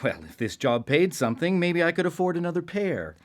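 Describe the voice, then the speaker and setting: A man's voice: on the high side, sing-song, a little fast. A man playing a hapless, self-important savior of souls in a radio play, talking to himself in a grandiose, theatrical way. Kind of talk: live radio play acting.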